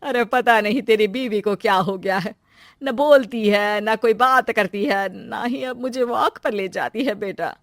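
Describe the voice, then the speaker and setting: A woman's voice: on the high side, unhurried, dry recording, studio quality. A woman voicing a fond, fretful older mother-in-law in a family moral story, speaking emphatically. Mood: hurt, fretful.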